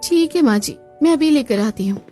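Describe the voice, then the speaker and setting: A woman voicing a put-upon young bride in a family cartoon, expressive and dutiful, given to muttering. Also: high-pitched, moderate pace, faint music behind, pitched above the performer's own voice.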